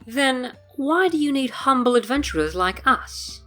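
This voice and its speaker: A woman's voice: sits high, animated, measured pace, a bit bright, faint music behind. Swooping, mistrustful merfolk man played by a woman. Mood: skeptical, challenging. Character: mistrustful, challenging merfolk rogue adventurer, male. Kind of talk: fantasy audio drama acting.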